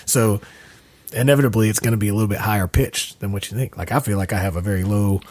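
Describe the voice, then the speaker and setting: Easygoing low-voiced podcast host man. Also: quick pace, darkish tone. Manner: easygoing, rambling with fillers. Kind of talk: casual chat. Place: home studio room.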